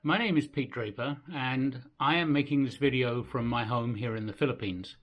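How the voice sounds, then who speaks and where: A man in his sixties from England with an English accent, narrating his family history from his home in a gentle, unhurried way. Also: medium pitch, dull audio. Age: 60s. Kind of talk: reflective personal narration. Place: home in the Philippines.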